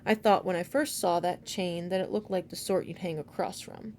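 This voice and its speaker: A woman voicing a cold, confident teenage boy demon hunter in a storybook, deliberate and accusatory. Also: on the low side, steady tone, a little mellow, a little hiss or hum, lightly acted. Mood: accusing, confident.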